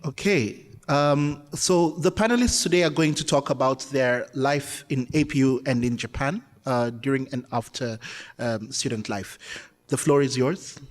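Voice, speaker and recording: A man's voice: mid-range voice, unhurried, long reverb tail. A man hosting a panel, introducing speakers briefly with short fillers. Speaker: host.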